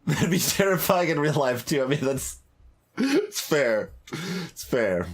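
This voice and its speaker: A man's voice: a little high, big pitch swings, measured pace. A man streaming a game, reading the narration aloud expressively and adding casual off-the-cuff commentary. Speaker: streamer doing a blind voiced playthrough. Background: music.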